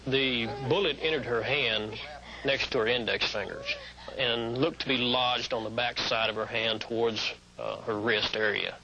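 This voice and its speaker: A man's voice: medium pitch, steady tone, moderate pace, a crowd in the background, low-quality recording. An American man, a paramedic, recounting an emergency in an interview. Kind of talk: interview recollection.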